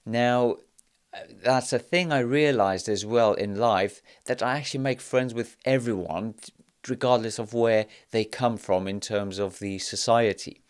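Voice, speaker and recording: A man in his thirties from South Africa and Poland, hosting a podcast and telling his life story in a measured, reflective way, pausing often on "uh". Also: mid-range voice, clear, resonant tone. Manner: measured, reflective, with frequent "uh" pauses. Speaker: podcast host. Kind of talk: personal storytelling monologue.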